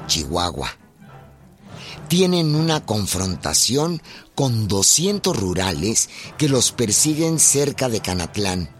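An actor narrating a radio documentary drama about a revolutionary, with a Mexican accent, in a measured way. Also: male voice, on the low side, heavily acted.

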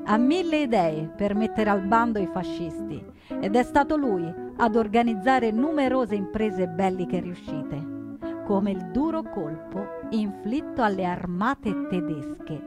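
A woman playing an earnest, proud young partisan who narrates a scripted stage drama with deliberate, dramatic phrasing. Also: mid-range voice, lightly acted. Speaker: storyteller narrator.

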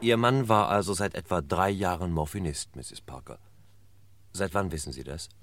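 A man's voice: low-pitched, normal speed. A man playing a terse, inquiring police investigator in a mid-century German radio crime drama. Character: male police investigator questioning a witness. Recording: very noisy old radio recording.

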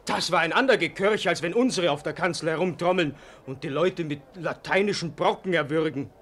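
A man's high, bright, ringing voice as a hearty citizen in a vintage radio drama. He is blunt and declamatory, driving every point home with emphasis. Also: brisk. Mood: enthusiastic. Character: outspoken, hearty, loyal townsman. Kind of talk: 1940s German radio play acting.

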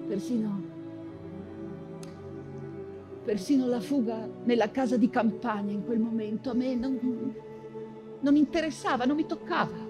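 An actress playing an anguished, proud woman writer in wartime Paris, in a theatrical monologue with halting, insistent repetitions. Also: on the high side, music in the background, close to an everyday voice. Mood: bitter regret.

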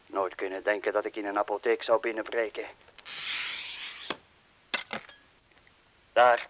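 Een acteur die in een hoorspel een aarzelende, bezorgde inbreker speelt, hees en vragend. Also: male voice, on the low side, fairly even intonation, fairly quick, fairly bright tone, slight background noise, lightly acted. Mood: reluctant. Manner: doubtful, questioning. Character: hesitant, anxious burglar worried about his mate. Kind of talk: Dutch-language radio drama acting. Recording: dull lo-fi radio play recording.

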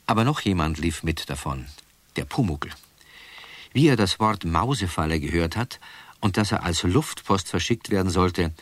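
A man narrating a children's radio play as a scripted storyteller, in measured sentences. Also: low-pitched, no room sound.

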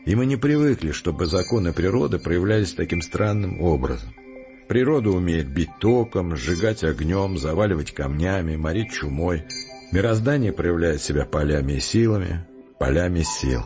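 A resolute, philosophical scientist with a low voice, reasoning patiently and deliberately. The audio drama recording is slightly muffled, with prominent music behind him. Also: male voice, expressive, a little mellow. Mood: earnest, reasoning. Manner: deliberate, explanatory, earnest.

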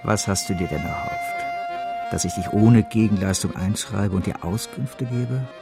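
Gravelly, cynical financier, low-key scheming. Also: male voice, unhurried, loud music behind the voice. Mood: curt, impatient. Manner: muttered scheming asides, curt commands, oily courtesy. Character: shrewd, cynical male financier, impatient and smooth-talking. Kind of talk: German radio drama acting.

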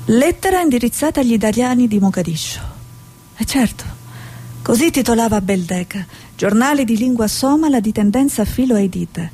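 An actress playing a haunted, searching woman journalist in a radio drama, with a breathy voice. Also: on the high side, animated, moderate pace, mellow, slight background noise. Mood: brooding, investigative. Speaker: actor in a radio play. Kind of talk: dramatic stage monologue and dialogue.

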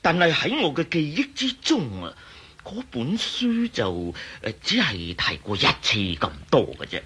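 A man playing an absent-minded, scholarly professor in a radio drama, hesitant and muttering, with a raspy, husky voice. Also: fairly high pitch, wildly swooping pitch, a little slow, heavy background noise. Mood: thoughtful. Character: absent-minded, scholarly male Egyptology professor, easily excited. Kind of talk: Cantonese radio drama acting.

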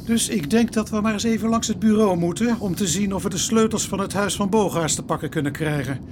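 An actor playing an earnest, somewhat naive police inspector in a radio drama, formal and a little hesitant. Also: male voice, sits high, a little fast, noisy background, lightly acted. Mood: incredulous, resolute. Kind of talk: naturalistic Dutch radio drama acting.

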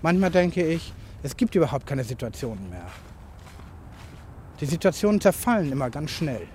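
A man's voice: a little high, very animated, moderate pace, a little mellow. A man speaking an improvised, introspective monologue into a tape recorder in fragmented observations. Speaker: writer. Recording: noisy home tape recording.

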